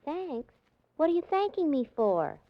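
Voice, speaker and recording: A woman's voice: sits high, big pitch swings, unhurried, highs cut off. A woman playing a scatterbrained, fretful young secretary, naive and fussy, in 1940s radio acting style. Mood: fussing. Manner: naive, fussy, mangles words.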